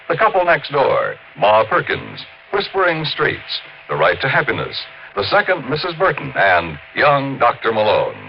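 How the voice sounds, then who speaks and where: A man with an American accent announcing from a script in the polished, emphatic, theatrical style of 1950s radio. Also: fairly low pitch. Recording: dull, slightly noisy 1950s radio recording.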